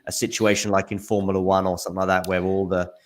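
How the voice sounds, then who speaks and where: A man's voice: deep voice, level tone, fast. A man hosting a casual podcast chat, self-deprecating and chatty. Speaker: podcast host.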